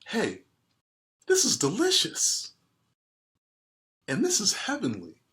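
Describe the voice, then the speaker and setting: Guy doing a sweet eager little schoolgirl from a picture book, friendly, voice bumped up higher. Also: huge pitch swings, slow, fairly bright tone, a little hiss or hum, exaggerated voice. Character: two friendly young schoolgirls making up over lunch. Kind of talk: storybook character voices.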